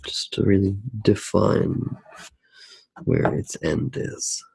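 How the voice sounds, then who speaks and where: A soft-spoken man doing an unscripted ASMR demonstration, musing aloud in a hushed way as he works. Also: on the low side, animated, slow pace, gravelly, slightly rough, low-quality recording. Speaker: ASMR artist narrating own drawing.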